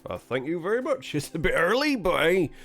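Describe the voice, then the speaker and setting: A man's voice: high voice, sing-song, a little fast, a bit bright, pitched above the performer's own voice, exaggerated voice. A man voicing a busy, friendly male innkeeper who has a cold and sounds bunged up. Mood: chatty. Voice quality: bunged-up, stuffy.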